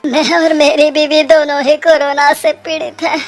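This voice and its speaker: A woman's voice: high-pitched, flat delivery, moderate pace, soft background music, natural voice. A woman voicing a distressed husband and father in a cartoon story, appealing urgently for help. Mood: anxious, pleading.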